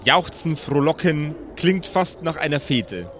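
Man, game master, higher voice, slow deliberate pace, atmospheric improvised tabletop narration. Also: expressive, a bit bright, slight background noise.

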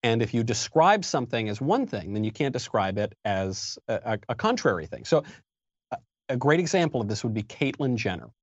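A man's voice: mid-range voice, very animated, a little fast, husky, no room sound. Emphatic man, dull dry line. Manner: argumentative, emphatic. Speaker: guest author. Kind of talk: political interview.

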